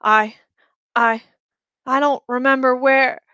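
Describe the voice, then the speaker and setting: A frightened, angry teenage boy in an audiobook, acted out by a woman. The voice is raised and halting, clinging to broken phrases as he slips away, in a dry recording. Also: high-pitched, steady tone, sharp tone, clear, resonant tone, dry recording, muffled recording, voice pushed up, full character voice. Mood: scared, confused.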